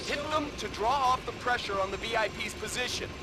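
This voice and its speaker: A man playing a brisk, focused military mission controller relaying procedural orders in a video game. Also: music in the background. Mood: urgent, focused. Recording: very noisy, vehicle rumble behind.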